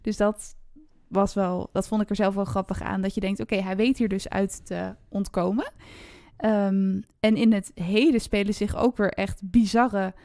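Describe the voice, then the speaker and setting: A woman's voice: mid-range voice, steady tone, normal speed, warm tone, no echo, studio quality. A woman co-hosting a book podcast, talking about books in casual conversation.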